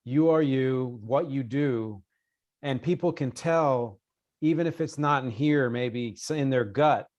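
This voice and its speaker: A man's voice: medium pitch, a little slow, darkish tone, lo-fi sound. A man hosting a music interview in a casual, reflective way, affirming and thoughtful as he talks. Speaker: interviewer and music show host.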